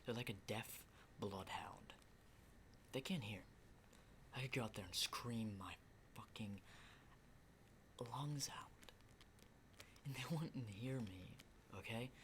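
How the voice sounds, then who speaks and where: Hushed, edgy male apocalypse survivor acted by a man. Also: on the low side, slight background noise. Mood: urgent, amazed. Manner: halting, hushed, rambling, profane. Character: battle-hardened, rattled male survivor of a zombie apocalypse. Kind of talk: ASMR roleplay monologue.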